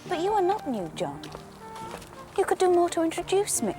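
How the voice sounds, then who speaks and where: A socially ambitious young wife presses her point in a period drama. Her voice is high and very changeable in pitch, insistent in manner, over clear music. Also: wildly swooping pitch, moderate pace, heavily acted. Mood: plaintive. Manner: plaintive, insistent.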